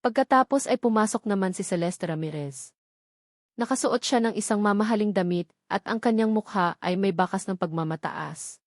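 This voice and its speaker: A woman's voice: mid-range voice, moderate pace, no room sound, clean studio sound. A woman reading a dramatic scripted narration of a romance story.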